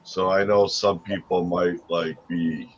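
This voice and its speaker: A deep-voiced older man from Wisconsin chatting casually as a livestream co-host, joking and restarting his sentences. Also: little pitch movement, slow pace. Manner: laughs often, jokes, trails off and restarts. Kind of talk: casual chat. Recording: slightly dull sound with faint noise.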